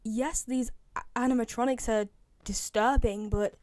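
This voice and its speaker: A young British woman with a fairly high voice hosts a casual commentary video. She repeats phrases for emphasis, and the sound is close and dry. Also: steady tone, a little slow, a little mellow, no room sound. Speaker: video host, game fan.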